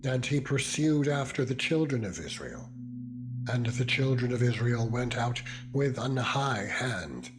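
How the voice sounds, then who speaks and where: A man reading scripture as a solemn, dramatized narration, with deliberate, weighty phrasing. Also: mid-range voice, lively intonation. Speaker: Bible narrator. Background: music.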